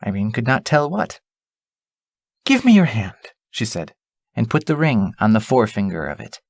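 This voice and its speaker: A man reading a storybook as an audiobook narrator, in a deliberate, dramatic way. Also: on the low side, lively intonation, creaky, dry recording, studio quality.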